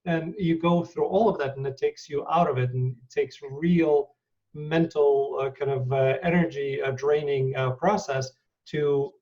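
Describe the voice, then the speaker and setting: A man hosting an interview, affirming his guest and pausing often with fillers. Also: on the high side, steady tone, darkish tone, far from the mic, dull audio. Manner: affirming, halting with many fillers. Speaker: interview host. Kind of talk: conversational interview.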